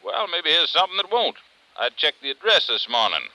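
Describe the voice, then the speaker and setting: Blunt, high-voiced deputy prosecutor. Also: male voice, big pitch swings, brisk, bright, forceful tone, full character voice. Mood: dry, matter-of-fact. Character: blunt, insistent deputy district attorney, convinced of murder. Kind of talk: 1950s radio detective drama acting. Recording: noisy vintage radio recording.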